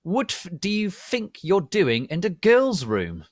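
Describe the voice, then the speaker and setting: A man voicing a furious, sarcastic, melodramatic teenage goth girl who shouts and growls. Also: sits high, huge pitch swings, slow pace, no room sound, studio quality, pitched above the performer's own voice, exaggerated voice. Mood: angry.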